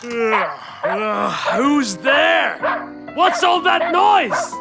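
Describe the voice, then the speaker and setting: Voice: high voice, big pitch swings, drawn-out words, gravelly, exaggerated voice. An actor voicing a good-natured adult male neighbour in a children's cartoon, groaning and drawing out exclamations, with music behind. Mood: groggy, irritated.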